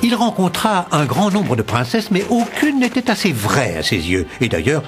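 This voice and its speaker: An actor playing a wry, lively storyteller narrating a cartoon fairy tale expressively. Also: male voice, a little high, moderate pace, soft background music. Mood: wry, dramatic.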